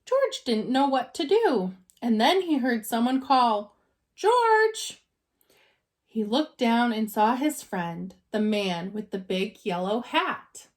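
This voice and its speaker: A woman reading a children's story aloud like a teacher, expressive and dramatizing for young listeners. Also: on the high side, takes it slowly, few pauses.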